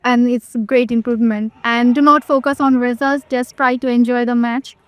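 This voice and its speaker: High-voiced Indian woman giving advice. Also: fairly even intonation, normal speed, clean tone, dry recording. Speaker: table tennis player.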